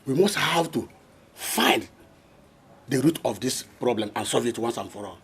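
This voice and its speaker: An actor playing a community elder who tries to calm the others. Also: male voice, medium pitch, normal speed, slight background noise.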